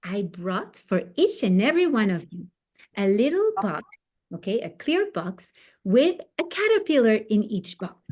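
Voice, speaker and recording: A woman's voice: medium pitch, sing-song, a little slow, darkish tone. A woman voicing a cheerful first-grade teacher who talks to her class in an encouraging, sing-song way.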